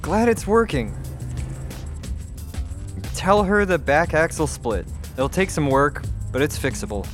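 A man's voice: fairly high pitch, sing-song, unhurried, over music. A man playing an easygoing, irreverent colony engineer in a science fiction audio drama, casual and sarcastic. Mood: exasperated, dry.

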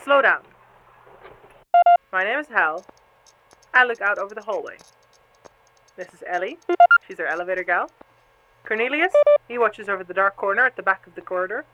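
Female actor as a friendly machine lookout in audio drama: patient, reassuring leader, mid-pitched, dull sound under loud noise. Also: expressive, normal speed, pauses often, close to an everyday voice. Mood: friendly, introducing.

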